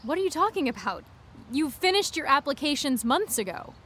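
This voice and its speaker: Lively, bright, insistent teenage girl. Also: high-pitched, brisk, exaggerated voice. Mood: shocked. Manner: insistent, earnest, problem-solving. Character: pushy, well-meaning overachieving teenage girl, a best friend. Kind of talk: naturalistic audio drama acting.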